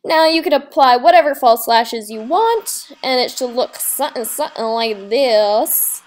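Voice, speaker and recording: A young woman with a fairly high voice walks through a how-to tutorial in a breezy, informal manner. She sounds slightly under the weather, as if her voice is starting to give out. Her phrasing is slangy and casual, like talking to friends. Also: unhurried. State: slightly sick, voice giving out. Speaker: makeup tutorial host.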